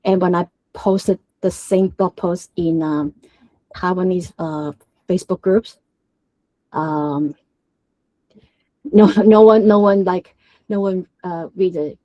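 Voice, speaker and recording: Woman, Hong Kong accent, Cantonese first language, low mellow voice, hesitant tech presentation. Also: steady tone, takes it slowly, pauses often, dry recording, low-quality recording. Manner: hesitant, many filler sounds and restarts. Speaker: guest speaker at a developer meetup. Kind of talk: technical presentation.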